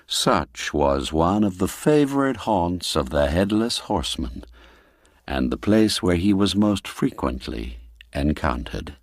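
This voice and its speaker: A man narrating a classic ghost tale in a theatrical, expressive storytelling manner, dwelling on the darker words. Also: low-pitched, no room sound, studio quality.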